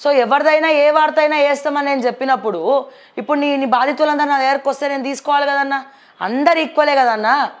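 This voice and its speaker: A woman's voice: high voice, quick pace. A woman news presenter with a Telangana accent, reviewing the papers and commenting on politics in a conversational, blunt, questioning way. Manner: blunt, questioning, addresses people directly.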